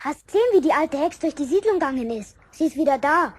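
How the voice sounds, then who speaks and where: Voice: animated, fast talker, dry recording. A performer playing a cocky, vengeful Bavarian schoolboy in a children's radio play, with a childlike voice and a brash, goading manner. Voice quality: high childlike voice.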